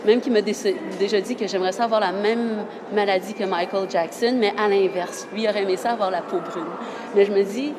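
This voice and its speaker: A woman's voice: mid-range voice, fairly even intonation, brisk, noisy background. A woman with a Québécois French accent in her thirties, a journalist talking earnestly in an interview, often restarting her phrases. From Quebec. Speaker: journalist guest. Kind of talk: casual interview talk.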